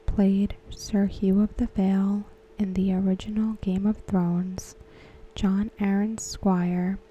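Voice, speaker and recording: Soft whispering woman, dark and slow. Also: medium pitch, little pitch movement, slight background noise, poor audio. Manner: whispered, unvoiced and close. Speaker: ASMR host. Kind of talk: soft-spoken ASMR commentary.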